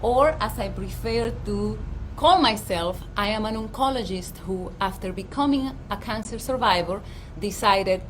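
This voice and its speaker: A woman's voice: mid-range voice, normal speed, a little hiss or hum, poor audio. Dominican-accented woman coaching outdoors. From Dominican Republic. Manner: warm, earnest, emphatic presenter. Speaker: life coach. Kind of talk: motivational livestream talk. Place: outdoors at her home.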